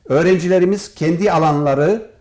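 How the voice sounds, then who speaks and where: A man giving formal, measured interview answers as an academic guest, as if from prepared notes. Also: a little high, a little mellow. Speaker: professor and department head, interview guest.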